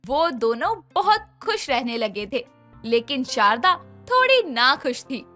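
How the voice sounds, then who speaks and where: A woman narrating a cartoon story in a scripted, expressive way. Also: sits high, unhurried, soft background music.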